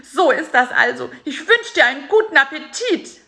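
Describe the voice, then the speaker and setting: Woman doing a little male fly, shrill, indignant, storybook, fast squealing. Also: animated, sharp tone, full character voice. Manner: shrill, quick, squealing outbursts.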